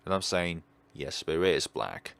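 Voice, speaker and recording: Deep-voiced man with lively, swinging intonation. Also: a little slow, vocal fry. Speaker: let's play host. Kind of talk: reading a visual novel aloud with rambling off-script commentary.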